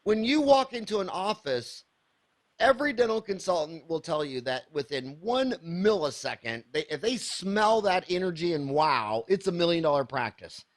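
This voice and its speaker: A man's voice: high-pitched, very animated, moderate pace. Enthusiastic rambling man in his fifties. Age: 50s. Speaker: podcast host. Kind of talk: casual interview.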